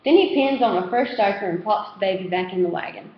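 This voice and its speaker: A woman giving an animated read-aloud of a children's novel as its narrator. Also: medium pitch, fast talker. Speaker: reader. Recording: roomy echo, slightly dull sound.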